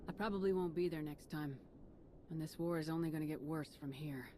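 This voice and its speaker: An actress playing a tough, sardonic commander in a video game, speaking bluntly and dryly. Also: female voice, low-pitched, a little fast, dark tone, slight background noise, close to an everyday voice. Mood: sober. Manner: blunt, dry, challenging. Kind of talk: dramatic video game voice acting.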